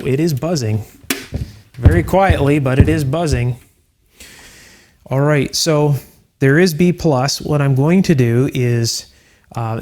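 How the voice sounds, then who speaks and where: A man hosting an electronics restoration video, explaining each step calmly and methodically, with frequent fillers. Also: mid-range voice. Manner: calm, methodical, with fillers like "uh" and "you know". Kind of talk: hands-on technical explanation.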